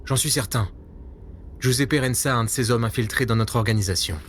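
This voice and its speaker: A man's voice: medium pitch, fairly quick, slight background noise, lightly acted. A man playing a weary, self-doubting veteran secret agent in a spy audio drama, earnest and brooding. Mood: earnest, defensive.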